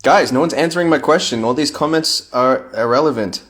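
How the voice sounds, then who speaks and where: A man's voice: mid-range voice, brisk, fairly bright tone. A young man chatting casually on a phone livestream at home, reading fan comments aloud with playful asides.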